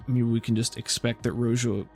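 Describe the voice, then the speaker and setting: Man narrating a tabletop game, creaky voice. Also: mid-range voice, level tone, a little fast, darkish tone, faint music behind. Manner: hesitant, conversational narrating. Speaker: game master.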